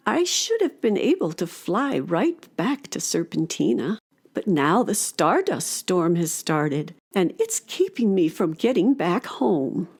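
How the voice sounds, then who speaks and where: A woman playing a gentle, caring older female dragon in a children's radio play, speaking in a tender, earnest storytelling way. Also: fairly low pitch, sing-song, slow pace, slightly rough, exaggerated voice. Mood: wistful.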